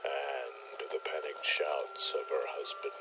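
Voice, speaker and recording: A man narrating a mock-gothic comedy tale in a measured, dramatic storytelling style. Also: extremely low pitch, level tone, in a whisper.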